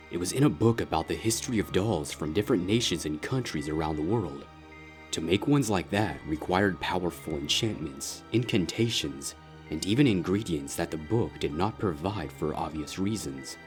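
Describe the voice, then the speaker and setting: Deep-voiced man narrating a story. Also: expressive, normal speed. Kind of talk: scripted dramatic story narration. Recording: music under the voice.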